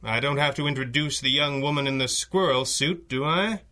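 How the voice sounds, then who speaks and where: An actor playing a calm, dry-witted masked crimefighter in a radio drama, with a Canadian accent. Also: male voice, medium pitch, normal speed, close to an everyday voice. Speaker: masked hero.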